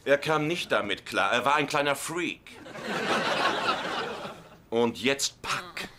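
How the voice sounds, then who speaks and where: A man's voice: medium pitch, lively intonation, slow pace, loud music behind the voice. A man acting an easygoing, playful working-class husband in a sitcom, teasing and reassuring. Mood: exasperated.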